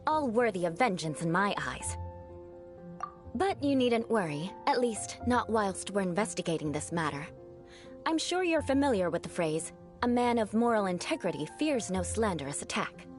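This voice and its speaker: A woman acts out a proud young aristocrat from a video game. She speaks in a medium register with animated melody, formal and precise, with an aloof, haughty air. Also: medium pitch, moderate pace, faint music behind, lightly acted. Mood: stern. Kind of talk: video game character dialogue.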